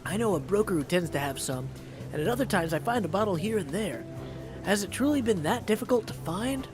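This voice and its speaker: A man's voice: sits high, animated, brisk. A man voicing a poised, earnest young witch goddess from a video game, speaking in a formal, composed way. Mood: dry, curious. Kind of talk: video game character dialogue. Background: music.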